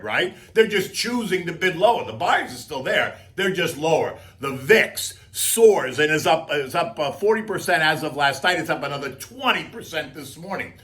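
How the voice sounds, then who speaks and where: A man with a scratchy, hoarse voice giving emphatic, conversational market commentary as a host, full of rhetorical questions and folksy asides. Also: on the high side, bright, forceful tone. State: hoarse, losing voice. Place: outdoors.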